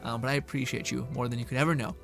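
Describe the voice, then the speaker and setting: A man streaming and chatting casually with viewers, rambling with many restarts, his voice a little hoarse. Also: medium pitch, fairly even intonation, racing, soft background music. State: slightly hoarse. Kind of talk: casual stream chat, reading chat.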